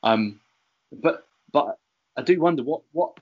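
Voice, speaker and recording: A man's voice: on the high side, animated, measured pace, breathy edge, highs cut off. A man hosting a podcast interview, talking with many fillers and restarts. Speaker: podcast host.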